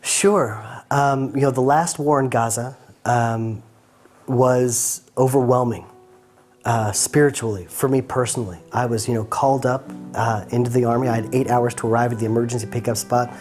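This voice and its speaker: A man's voice: mid-range voice, steady tone, normal speed, a little mellow. Fervent man with music behind. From United States (Atlanta). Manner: fervent, speaks in short phrases with ums. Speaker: interview guest. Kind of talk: earnest personal testimony.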